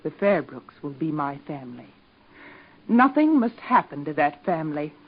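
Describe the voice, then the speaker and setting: A coolly determined young schemer in a vintage radio serial. Her voice is fairly low and her delivery deliberate and dramatic. The old recording sounds dull. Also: big pitch swings, breathy edge, full character voice. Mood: intense, determined. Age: young adult. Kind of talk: 1940s radio soap acting.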